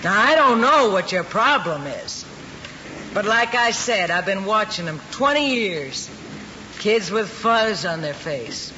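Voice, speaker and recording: An actor with an American accent playing a world-weary, blunt counter worker in a muffled, noisy old television drama. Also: male voice, high-pitched, very animated, pushed and bright, exaggerated voice. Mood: knowing, blunt. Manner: folksy, blunt, unhurried working-class talk. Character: world-weary, plain-spoken older lunch counter worker. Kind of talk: mid-century American television drama acting.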